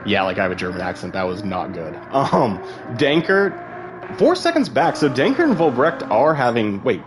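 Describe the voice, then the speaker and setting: A man's voice: mid-range voice, sing-song, moderate pace, background noise. Chatty man commentating a sim race. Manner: casual, chatty, rambling with frequent fillers. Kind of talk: live esports race commentary.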